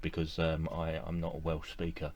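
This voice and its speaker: A middle-aged man talking to the camera from a room with display shelves, recalling things he liked in a relaxed, enthusiastic way with lots of fillers and repeated words. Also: a bass voice, normal speed, dull audio. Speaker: model railway collector vlogger. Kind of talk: casual to-camera review.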